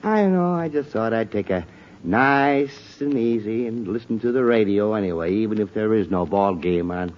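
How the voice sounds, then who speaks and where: Man acting a blustery, excitable radio sitcom dad. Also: on the low side, moderate pace, close to an everyday voice. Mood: relaxed. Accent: American. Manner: blustery, emphatic. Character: exasperated, excitable middle-aged suburban father and husband. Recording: muffled, noisy old radio recording.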